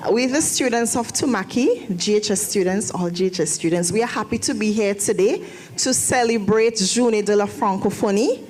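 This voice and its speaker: A woman's voice: mid-range voice, moderate pace, darkish tone. A woman teacher giving a short, friendly introduction to a student performance at an event in a church hall. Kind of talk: brief spoken introduction.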